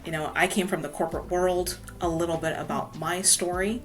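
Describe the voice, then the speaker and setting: A woman's voice: on the low side, steady tone, fairly quick, soft background music. A woman from Hawaii, a guest author telling her story in a casual podcast interview, filling her talk with "you know". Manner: chatty, many "you know" fillers.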